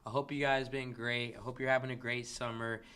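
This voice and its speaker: A man's voice: medium pitch, flat delivery, a little fast, sharp tone, smooth. A man from Kansas City, coaching on a casual livestream and greeting his chat viewers by name. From Kansas City, Missouri, US. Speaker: dropshipping coach hosting a livestream.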